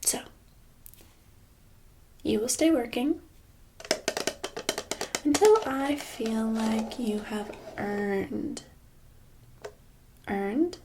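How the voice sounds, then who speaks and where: A woman playing a smug, controlling boss in a soft-spoken ASMR roleplay, with condescending, mock-sweet, drawn-out delivery. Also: a little high, slight background noise. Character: smug, controlling female boss holding an employee captive.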